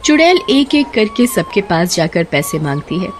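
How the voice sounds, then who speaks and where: Female storyteller, scripted narration, lively swings in intonation, medium pitch, faint music. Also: moderate pace.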